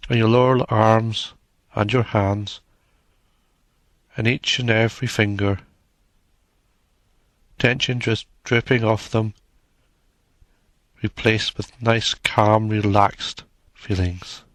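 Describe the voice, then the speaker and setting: A man narrating a guided meditation in a soothing, measured manner, leaving long pauses between short phrases. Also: fairly low pitch, steady tone, fairly bright tone, no room sound.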